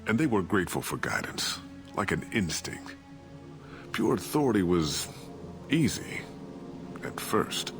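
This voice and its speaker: Deep-voiced disgraced captain, self-justifying. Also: male voice, big pitch swings, measured pace, pauses often, full character voice. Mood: defensive. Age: middle-aged. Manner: defensive, rationalizing. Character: disgraced, self-justifying ship captain, evasive aging father. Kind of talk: dramatic video game voice acting. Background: cinematic background music.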